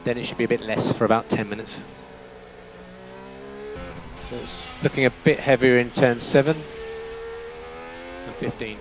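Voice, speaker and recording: A man's voice: mid-range voice, fairly even intonation, normal speed, long pauses. A man working as a race engineer, giving terse, matter-of-fact instructions over team radio and repeating key phrases. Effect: team radio transmission.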